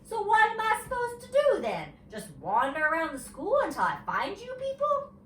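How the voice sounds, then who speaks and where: A woman's voice: very high voice, wildly swooping pitch, moderate pace, a bit bright, pitched above the performer's own voice, full character voice. A woman voicing a feisty, dramatic five-year-old girl telling a storybook tale with childish, emphatic indignation. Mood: alarmed, indignant. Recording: roomy, distant mic, faint noise.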